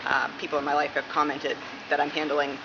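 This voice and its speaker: A woman's voice: deep voice, flat delivery, brisk. A woman from Massachusetts answering questions in a casual interview. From Massachusetts, United States. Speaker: interviewee, police dispatcher. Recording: muffled, clearly noisy room recording.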